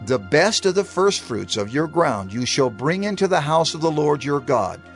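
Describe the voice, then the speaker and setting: A man's voice: a little high, very animated, moderate pace. A man playing God as a stern, solemn lawgiver, declaiming commandments emphatically in a dramatized audio Bible. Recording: music under the voice.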